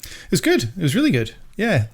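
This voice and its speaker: A man's voice: sits high, huge pitch swings, brisk, breathy edge. A man from Sydney with a mild Australian accent, rambling and restarting sentences as a podcast co-host in casual chat. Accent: mild Australian, softened by years in America. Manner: rambling, restarts mid-sentence, laughs easily.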